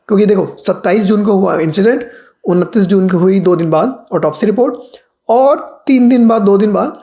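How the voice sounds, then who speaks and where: Indian guy doing youtube news commentary, soft mellow voice, mixes languages mid sentence, chatty host style. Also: high-pitched, vocal fry. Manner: code-switches between Hindi and English. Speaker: vlogger, channel host. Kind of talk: casual news commentary to camera.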